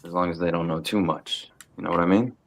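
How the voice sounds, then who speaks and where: A man reading the part of a tough, watchful, gruffly protective uncle from a crime family at a film table read. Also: sits low, steady tone, fast talker, noisy background. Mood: firm. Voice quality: gravelly.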